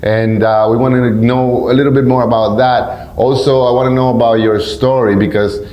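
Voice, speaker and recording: Radio host guy, casual and joking, mid pitch, roomy sound. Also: steady tone, normal speed, a little mellow. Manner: friendly, playful, stumbles over acronyms. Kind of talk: casual interview hosting. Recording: echoey room.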